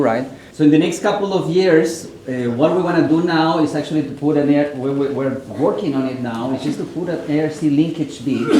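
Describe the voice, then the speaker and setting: A man's voice: medium pitch, warm tone. A Chilean-accented man, a native Spanish speaker, presents a research project in a roomy conference hall with a faint hiss behind him. His delivery is conversational, peppered with "right?" and "actually". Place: large echoey conference room. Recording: echoey hall with faint noise.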